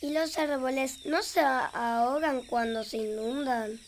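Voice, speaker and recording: A woman's voice: high-pitched, measured pace, a little hiss or hum. A woman playing a curious, naive young child in a children's radio play, with a Rioplatense Argentine accent and a wide-eyed, questioning manner. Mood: puzzled. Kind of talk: children's radio drama acting. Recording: long echoing reverb.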